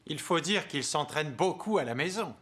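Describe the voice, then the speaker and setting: A man voicing a kindly, gentle male guardian in a cartoon dub. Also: fairly high pitch, animated, normal speed, husky. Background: music.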